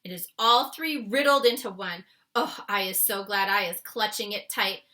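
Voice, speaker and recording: A woman voicing a big, kind male giant who mangles his words and exclaims loudly. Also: medium pitch, moderate pace, close to an everyday voice. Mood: exasperated.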